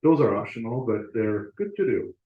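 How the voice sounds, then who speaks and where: A man's voice: on the low side, very animated, normal speed. A man giving a casual talk to his club over slides, conversational, with fillers and restarts. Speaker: club presenter. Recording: roomy, dull lo-fi sound.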